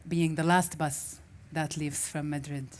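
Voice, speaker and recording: Low-voiced Arabic-native woman telling a story. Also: fairly even intonation, unhurried, a little hiss or hum. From Syria. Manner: reflective, searching for words with restarts. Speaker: festival speaker. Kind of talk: personal storytelling talk.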